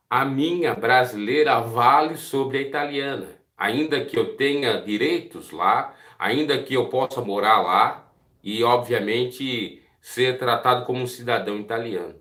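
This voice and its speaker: Earnest Brazilian preacher in an echoey room. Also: male voice, medium pitch, fairly bright tone. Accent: Brazilian Portuguese. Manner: earnest, asks listeners direct questions. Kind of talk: devotional Bible teaching.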